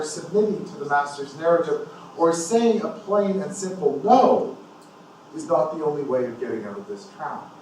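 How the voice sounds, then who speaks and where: A man presenting at a conference, reading a formal academic paper aloud with measured, deliberate phrasing. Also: a little high. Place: indoor university conference room. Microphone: distant from the speaker. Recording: echoey room, distant mic, faint noise.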